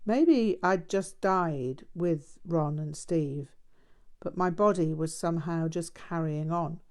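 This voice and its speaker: A woman reading a memoir aloud as scripted narration, in a measured, deliberate manner. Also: lowish voice, darkish tone. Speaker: audiobook reader.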